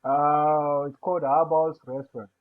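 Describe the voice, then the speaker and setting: A man from Zimbabwe, a guest on a podcast, telling his life story in an unhurried, soft-spoken way. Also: a little high, level tone. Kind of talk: casual interview over a call. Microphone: remote call line. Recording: tinny phone-like call line.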